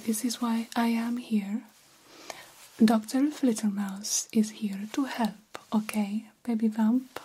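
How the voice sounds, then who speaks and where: Ancient vampire doctor, played by a woman: slow, mellow ASMR whisper, formal and fussily reassuring, some hiss behind. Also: fairly high pitch, fairly even intonation. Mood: soothing, coaxing. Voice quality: soft breathy whisper. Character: very old vampire doctor, soothing, self-assured, fussy. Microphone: close microphone.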